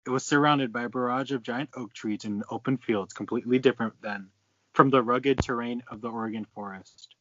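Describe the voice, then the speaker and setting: A man's voice: mid-range voice, a little fast, noisy background. A man casually reading fan fiction aloud, stumbling over lines and breaking off to swear and comment. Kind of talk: casual read-aloud with banter. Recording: dry, dull lo-fi close mic.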